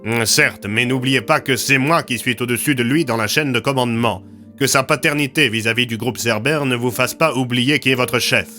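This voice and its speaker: A man's voice: mid-range voice, moderate pace, bright, forceful tone, close to an everyday voice. A man playing a formal, authoritative official in an audio drama, with precise diction, over music. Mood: stern, commanding. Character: formal male commanding official, curious, authoritative.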